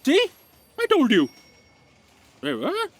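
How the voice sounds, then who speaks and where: A woman's voice: high voice, huge pitch swings, takes it slowly, heavily acted. A woman playing a sardonic, put-upon office aide in a comic sci-fi audio drama, sarcastic and exasperated. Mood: worried.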